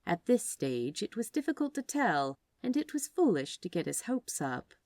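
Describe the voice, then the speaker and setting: Woman narrator, low pitch, lively intonation, dry close sound, expressive storytelling. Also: normal speed, dry recording. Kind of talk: expressive scripted fiction narration.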